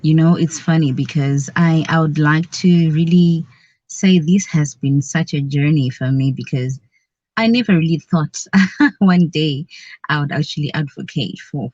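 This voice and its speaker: A woman's voice: low voice, moderate pace, a little mellow, dry recording. Woman, talkative radio guest with frequent laughter, recorded dry and slightly dull. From Zimbabwe. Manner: chatty, laughs often between phrases. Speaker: guest, cultural advocate. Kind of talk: conversational radio interview.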